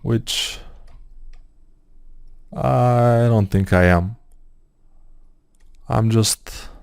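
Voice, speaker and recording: A man's voice: fairly low pitch, warm tone, no room sound, clean studio sound. A man with a Bulgarian accent, a non-native English speaker, talking through a 3D modeling tutorial as he works, thinking aloud with hesitant fillers. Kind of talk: screen-recorded software tutorial.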